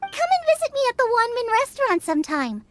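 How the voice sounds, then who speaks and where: Very high-pitched, bubbly girl chef. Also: huge pitch swings, quick pace, fairly bright tone, a little hiss or hum, exaggerated voice. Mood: warm, breezy. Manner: bubbly, eager, encouraging. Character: cheerful, generous young girl chef, competitive and kind. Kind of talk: English video game voice acting. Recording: music playing behind the voice.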